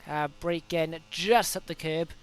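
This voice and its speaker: A young man with a Welsh accent and a fairly high, smooth, clear voice talks through his racing laps. He has a cold coming on. He chats loosely, with frequent ums and quick self-corrections. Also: faint music behind. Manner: chatty, self-correcting, many fillers. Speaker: gaming video host. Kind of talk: casual gameplay commentary.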